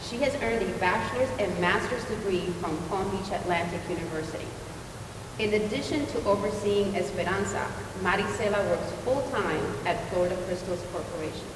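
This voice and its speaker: A woman moderator introduces the panelists and poses questions in a large hall. She sounds distant from the mic, with a heavy echo, long reverb and a clear low rumble behind her. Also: a little fast.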